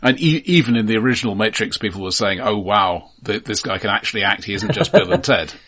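A middle-aged man co-hosting a film podcast, speaking casually and thoughtfully, halting and often repeating the starts of words. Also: fairly low pitch, fairly bright tone.

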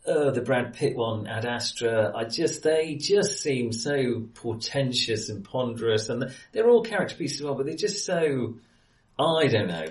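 A man's voice: medium pitch, expressive, a little slow. A man chatting casually about films, often hesitating and correcting himself as he goes. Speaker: film podcast host. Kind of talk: casual film discussion. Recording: roomy, echoey sound.

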